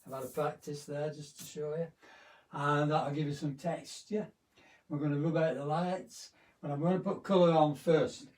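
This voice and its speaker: A hoarse man, chatting through a live painting demonstration at home. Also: fairly high pitch, fairly even intonation, normal speed, a little mellow, distant mic. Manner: chatty, rambling, with fillers. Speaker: art teacher.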